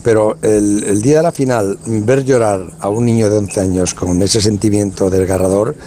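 Male tournament organizer from Spain, deep warm voice, rambling colloquial radio guest. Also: fairly even intonation, normal speed. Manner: rambling and colloquial, lots of restarts and tag questions. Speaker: youth football tournament organizer. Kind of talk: casual radio interview.

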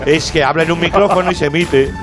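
A man's voice: on the high side, fast. A man with an accent from Spain doing improvised comic radio banter, rambling and repeating his phrases insistently. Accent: Spanish from Spain. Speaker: comedian on a radio show. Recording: music playing behind the voice.

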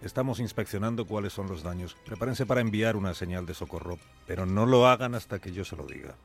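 A calm older sea captain, gives grave orders in a deep voice. It is authoritative radio drama acting, with music behind. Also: male voice, quick pace, vocal fry, breathy edge, exaggerated voice. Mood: grave, commanding. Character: calm, commanding older male ship's captain.

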